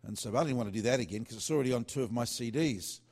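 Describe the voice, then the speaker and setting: A man's voice: mid-range voice, racing, darkish tone. A man who writes worship songs, talking casually in a loose, rambling way with many fillers and restarts. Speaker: worship singer-songwriter.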